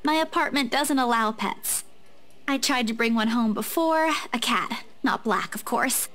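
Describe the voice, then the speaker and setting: Woman as a high-pitched, flustered young heroine. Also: measured pace, a bit bright. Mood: hesitant, chatty. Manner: stammering, defensive, earnest. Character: flustered, shy, chatty young woman, visual novel heroine. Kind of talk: visual novel character dialogue.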